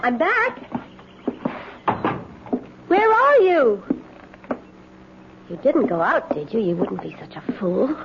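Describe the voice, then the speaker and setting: High-voiced, brazen young intruder. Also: female voice, huge pitch swings, slow, gravelly, pauses often, heavily acted. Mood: brisk, sly. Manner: bossy, breezy, mocking. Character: brazen, manipulative young female intruder, slyly taunting. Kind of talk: 1970s radio mystery acting. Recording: noisy, dull 1970s radio broadcast recording.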